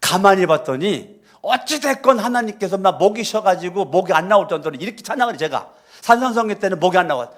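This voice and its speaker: A man preaching a sermon as pastor in a church, moving between quiet storytelling and loud, fervent exhortation. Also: high-pitched, animated, quick pace. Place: church sanctuary.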